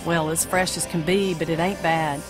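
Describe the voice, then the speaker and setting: A woman's voice: low-pitched, fairly even intonation, normal speed, close to an everyday voice. A woman playing a brassy, folksy small-town diner waitress in an amateur audio play, with blunt, informal talk. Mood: chatty, folksy. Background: music.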